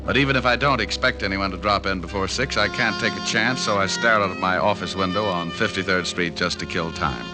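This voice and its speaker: An actor playing a hard-boiled private detective narrating in a dry first person, in a 1940s radio drama. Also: male voice, sits low, a little fast, few pauses, lightly acted. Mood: wry. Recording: old radio narration over music.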